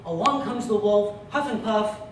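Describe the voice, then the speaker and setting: Male contest speaker, humorous tall-tale delivery, lively and theatrical, recorded from afar in a very echoey room. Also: high-pitched. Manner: theatrical, with drawn-out punchlines. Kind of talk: humorous storytelling speech to a live club audience. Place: meeting room before an audience. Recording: echoey room from far off, loud noise.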